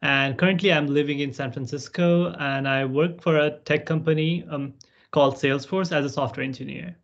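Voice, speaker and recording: A man's voice: on the high side, steady tone, brisk, gravelly. A man in his twenties from Nepal, speaking as an alumni panelist on a video call and giving casual advice with many "like" and "right?" tags. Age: 20s. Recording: muffled video call audio.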